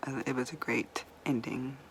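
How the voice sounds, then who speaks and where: Casual woman book vlogger, rambling and profane, with a gravelly edge to her voice. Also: a bass voice, steady tone, moderate pace, a little hiss or hum. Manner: chatty, rambling, swears casually, drops to whispers. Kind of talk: casual book review chat.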